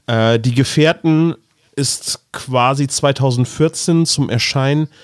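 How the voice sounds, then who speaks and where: A man's voice: mid-range voice, unhurried, no echo, clean studio sound. A man co-hosting a podcast, explaining things in a rambling, casual way. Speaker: podcast co-host.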